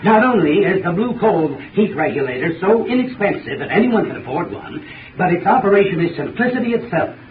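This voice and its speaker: A man giving a formal, deliberate 1930s radio advertising talk as an expert. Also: sits high. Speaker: heating expert in a sponsor spot. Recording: phone-like, echoey, distant, noisy 1930s radio recording.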